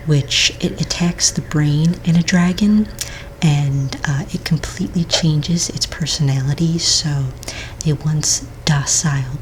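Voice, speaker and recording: Woman as patient fantasy-registry office manager, ASMR roleplay. Low, soft, breathy near-whisper, gentle and unhurried, keyboard typing and background noise. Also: low-quality recording. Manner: gentle, unhurried, hesitant pauses while checking paperwork. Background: computer keyboard typing.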